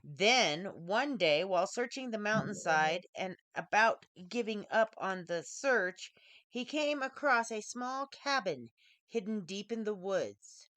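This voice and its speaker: A woman reading a scripted fairy tale aloud as a storyteller, with deliberate, dramatic phrasing. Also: medium pitch, expressive, fairly bright tone, no echo. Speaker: author reading her own story.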